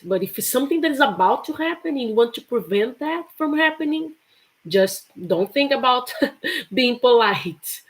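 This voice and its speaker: A woman's voice: mid-range voice, low-quality recording. Chatty woman teaching English. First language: Portuguese. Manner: chatty, repeats example phrases with laughs. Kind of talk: live online English lesson.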